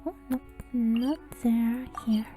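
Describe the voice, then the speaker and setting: Female gamer, casual commentary: mellow tone, hesitant, extremely slow delivery over game music. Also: fairly high pitch. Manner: halting, hesitant. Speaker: gaming content creator.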